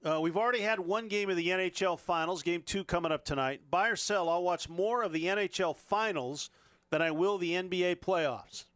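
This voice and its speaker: High-voiced sports radio host. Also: male voice, a bit bright, clean tone, no echo. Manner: brisk, running a quick question segment.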